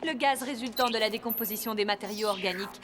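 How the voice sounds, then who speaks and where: A woman's voice: on the high side, fast talker, noisy background, close to an everyday voice. Woman voicing an echoing, eager cartoon science student. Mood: confident. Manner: talkative, self-assured. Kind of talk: dubbed cartoon acting.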